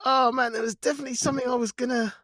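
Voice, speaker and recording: A man chatting casually as a podcast co-host, animated and often repeating short phrases. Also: very high voice, very fast, no room sound, studio quality. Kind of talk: casual chat.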